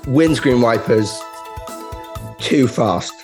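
A man's voice: medium pitch, drawn-out words, a little mellow, soft background music. A young man chatting casually as a comedian guest, with a dry, joking way of talking. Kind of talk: casual comic chat.

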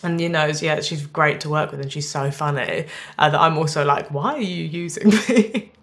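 A woman's voice: sits low, normal speed, fairly bright tone. A woman in her twenties chatting casually as a comedian guest, jokey, rambling and self-deprecating. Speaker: comedian guest on a radio show. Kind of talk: casual interview chat.

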